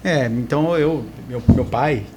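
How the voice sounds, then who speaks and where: A man hosting a podcast interview, asking short questions and dropping quick interjections. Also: medium pitch, dark tone, a little hiss or hum. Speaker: podcast host. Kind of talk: casual interview chat.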